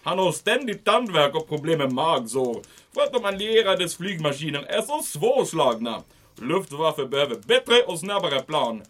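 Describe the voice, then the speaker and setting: A man's voice: a little high, big pitch swings, a bit bright. En man som spelar en självgod, hånfull tysk ockupationsmajor med låtsad tysk brytning. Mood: gloating. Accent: mock German. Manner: mocking, gloating, theatrical. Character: smug scheming German occupation major, male. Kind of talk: audio drama acting.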